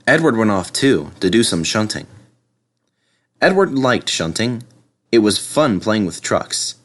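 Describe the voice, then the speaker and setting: A man's voice: lowish voice, big pitch swings, measured pace, fairly bright tone. A man narrating in the manner of a children's storybook reader. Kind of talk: storybook narration and spoken video introduction.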